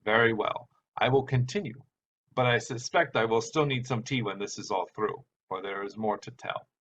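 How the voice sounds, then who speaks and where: A man's voice: lowish voice, moderate pace, fairly bright tone, natural voice. A man voicing a grumpy, sarcastic uncle who tells stories in an exasperated, declamatory way. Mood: grudging. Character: grumpy, theatrical storytelling uncle with sarcastic temper. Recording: dull sound with background hiss.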